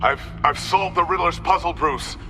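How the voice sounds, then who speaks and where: A man's voice: a little high, normal speed, gravelly, noisy background. A man playing a desperate, regretful middle-aged father in a video game, speaking with urgent, strained emotion. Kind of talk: dramatic video game acting.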